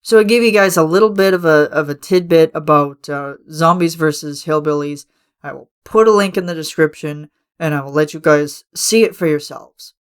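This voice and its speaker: A man hosting a podcast from his room at home, hoarse and raspy as he loses his voice, congested and coughing, rambling with lots of fillers and restarts. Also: on the high side, moderate pace. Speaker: podcast host. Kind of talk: casual solo podcast chat.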